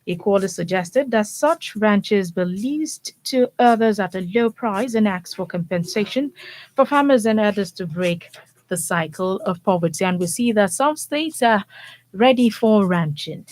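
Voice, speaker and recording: A woman's voice: mid-range voice, lively intonation, measured pace, a little mellow, poor audio. A woman with a Nigerian accent presenting radio news, reading formally and hosting from the studio.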